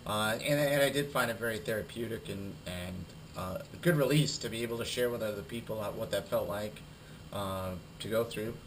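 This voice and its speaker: Man with a cold, halting presentation. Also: on the low side, slight background noise, low-quality recording. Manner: halting, many fillers and repeated words. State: has a cold, losing his voice. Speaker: student presenter. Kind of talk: recorded class presentation.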